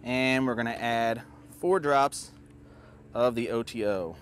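A man's voice: medium pitch, takes it slowly, slight background noise. A man in his thirties hosting an unscripted how-to, talking step by step in an upbeat, plain way while working in a backyard. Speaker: host. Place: backyard outdoors beside a hot tub.

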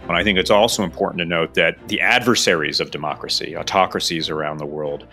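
A man's voice: sits low, fairly even intonation, fast talker, sharp tone, soft background music. A man giving formal expert commentary as an interview guest. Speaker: nonprofit director, expert guest.

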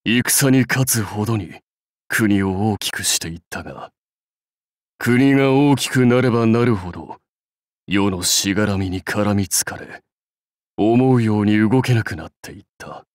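An actor playing a proud, battle-hungry samurai warlord in a game, with grand, archaic, declamatory speech. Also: male voice, on the low side, unhurried, dry recording, clean studio sound, lightly acted. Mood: solemn pride. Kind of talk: anime game voice lines.

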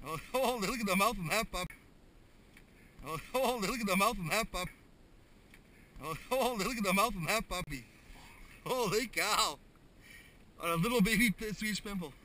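A man's voice: high voice, normal speed, long pauses, bright, forceful tone. A Canadian man chatting casually while fishing, with folksy mild exclamations and frequent "eh" tags. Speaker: ice fisherman filming a fishing vlog. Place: small ice fishing tent on a frozen lake. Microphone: body-worn or propped action camera. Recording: noisy action camera inside a tent.

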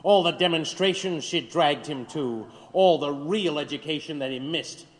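An actor in an audio drama playing a cruel, officious government interrogator, with a deliberate, sneering, commanding delivery. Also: male voice, a little high, lightly acted. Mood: mocking. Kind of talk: dramatic audio play acting. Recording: long hollow reverb.

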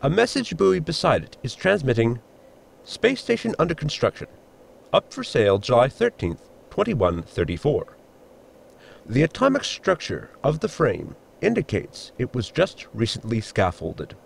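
An actor playing a dry, dutiful ship robot servant with a formal, precise, deadpan manner in a sci-fi comedy audio drama. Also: male voice, mid-range voice, normal speed, vocal fry, slightly rough, heavily acted. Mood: precise, deferential.

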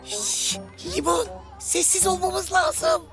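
A woman voicing a stubborn, excitable child in a children's cartoon who is scared but bluffs bravery. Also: extremely high pitch, slow, music in the background, exaggerated voice. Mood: urgent, hushed. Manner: defensive, excitable. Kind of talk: animated children's cartoon acting.

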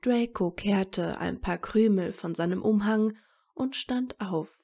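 A woman reads a story as narrator at a slow pace. Her voice is mellow and medium in pitch, with plenty of creak.

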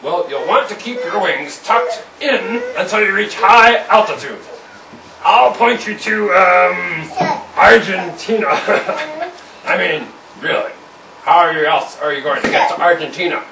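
A man's voice: high-pitched, a little slow, far from the mic. A man voicing a pushy pig shopkeeper with a chuckling salesman's patter, in an amateur home puppet show. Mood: scheming. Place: indoor room with a puppet stage. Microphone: far camera. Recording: roomy noisy home video from afar.

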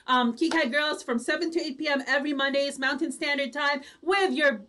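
A woman with a Filipino accent hosting an online radio chat show in a bubbly, exclaiming manner, moving between shout-outs and announcements. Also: high voice, fairly even intonation, fairly quick, slight background noise. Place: indoors, on a video call.

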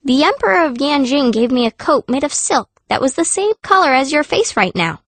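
A woman's voice: high voice, very animated, brisk, a bit bright, no echo, heavily acted. An actress voicing a fierce, blunt young girl mage who narrates an audiobook in the first person. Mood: blunt, exasperated. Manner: blunt, youthful.

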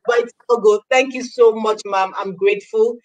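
A woman's voice: a little high, measured pace, rough recording. A young adult woman from Nigeria, hosting a live interview show over a video call, effusive and full of thanks. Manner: effusive, grateful, animated. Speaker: host. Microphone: laptop webcam on a video call.

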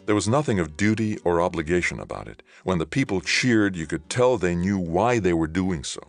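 A man's voice: sits low, sing-song, brisk, no echo, faint music behind, voice pushed up. A man voicing a troubled, earnest male war veteran in an audiobook. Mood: somber, intense. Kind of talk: audiobook character dialogue.

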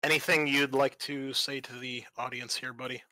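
A man's voice: medium pitch, little pitch movement, moderate pace, sharp tone, slight background noise. A man hosting a roleplaying podcast as game master, moving between casual chat and scene-setting narration. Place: online group call.

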